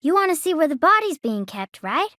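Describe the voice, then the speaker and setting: Bright, high-pitched Southern girl. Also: very animated, brisk, no room sound, studio quality, heavily acted. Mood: blunt. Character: sharp, forthright young daughter of a sheriff. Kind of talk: video game voice acting.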